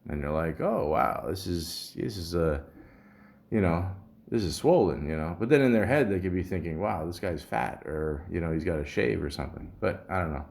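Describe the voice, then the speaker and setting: A man speaks in a low, deep voice that sounds a little muffled from a sore throat. He hosts a podcast alone, talking in a loose, rambling, grumbling way, with casual swearing mixed in. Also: animated, moderate pace, creaky, a little mellow. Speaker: podcast host. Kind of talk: casual solo podcast rambling, reading symptom lists aloud.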